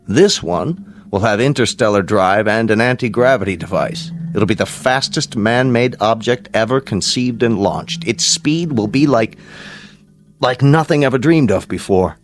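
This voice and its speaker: An American actor playing a grave, paternal space-program scientist in a radio drama, speaking formally, deliberately and with emphasis. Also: male voice, on the low side, lively intonation. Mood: solemn, emphatic. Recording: music behind the voice.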